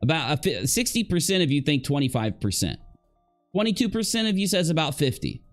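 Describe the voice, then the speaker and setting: A man giving casual live reaction commentary, halting as he thinks aloud and posing rhetorical questions to his viewers. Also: a little high, big pitch swings, faint music behind. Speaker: livestream commentator.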